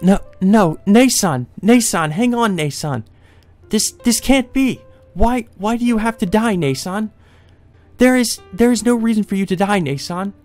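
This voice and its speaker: A man's voice: sits high, animated, measured pace, darkish tone, faint music behind, voice pushed up. A man voicing a grief-stricken young maid in a visual novel, stammering desperate cries for her sister. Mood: desperate crying out.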